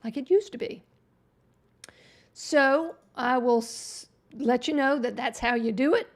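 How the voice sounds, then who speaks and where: A woman hosting a casual web design livestream, chatting folksily as she walks through each step on screen, her voice going hoarse. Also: high voice, unhurried. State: losing her voice. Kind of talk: casual screen-share tutorial chat.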